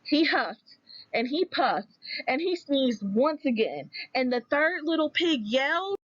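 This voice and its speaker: A woman narrates a storybook tale in a conversational, expressive style. Her voice is fairly high and very animated, captured dry with a slightly lo-fi dullness. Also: slow, dry recording.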